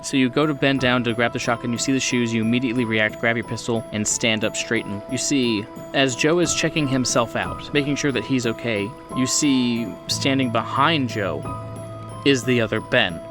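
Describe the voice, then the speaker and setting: A man narrates a tabletop horror game as its game master. His voice sits at a middle pitch, with deliberate phrasing, and music plays clearly behind him.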